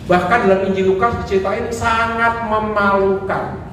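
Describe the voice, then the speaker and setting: A man, a priest, giving a lively sermon talk, acting out quoted voices and joking with the audience. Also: sits high, moderate pace, distant mic, slight background noise. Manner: animated, acts out quoted voices, jokes with audience. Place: church hall. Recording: echoey hall recorded from afar.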